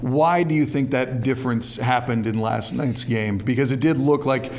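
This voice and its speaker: A man's voice: mid-range voice, moderate pace. A man hosting a sports show, interviewing in a conversational, enthusiastic way.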